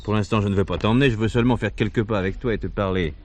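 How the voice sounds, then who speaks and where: A radio-play police chief, played by a man, with a fairly low voice. He is blunt and commanding, yet patient as he questions people, keeping his delivery brisk and authoritative. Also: steady tone, lightly acted. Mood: firm, persuasive. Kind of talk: French radio drama dialogue.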